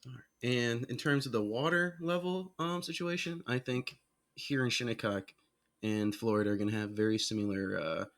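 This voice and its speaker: A man's voice: medium pitch, normal speed, fairly bright tone. A man hosting a podcast, asking curious, easygoing questions and reacting with surprise. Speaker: podcast host. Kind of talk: casual interview chat.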